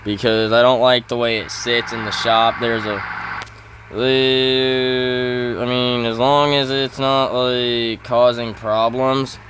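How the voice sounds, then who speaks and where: A man giving casual commentary over farming gameplay, halting and often correcting himself as he thinks aloud. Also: medium pitch, level tone, a bit bright, a little hiss or hum, rough recording. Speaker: gaming video host.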